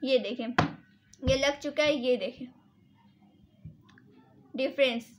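A woman's voice: high-pitched, takes it slowly, noisy background. A woman casually reviewing and demonstrating products as a beauty vlogger, instructive and repetitive, mixing in English words. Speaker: beauty vlogger and salon owner.

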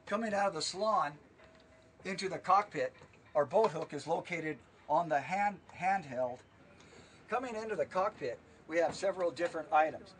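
A man's voice: on the high side, lively intonation, normal speed, distant mic, a little hiss or hum. A man giving a walkthrough tour of a small sailboat cabin, steadily listing its equipment and how it works. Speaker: charter boat staff giving a tour.

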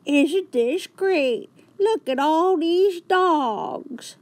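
A woman puts on a cheerful man out walking his dog in a storybook. He talks in a raised, bright voice, drawing out his words with eager enthusiasm. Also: a little high, sing-song, takes it slowly, no room sound, pitched above the performer's own voice, exaggerated voice. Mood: enthusiastic. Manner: enthusiastic, coaxing.

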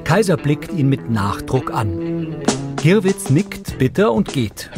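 A man reading scripted audio description as a narrator in a neutral, measured manner. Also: fairly high pitch, big pitch swings, music in the background.

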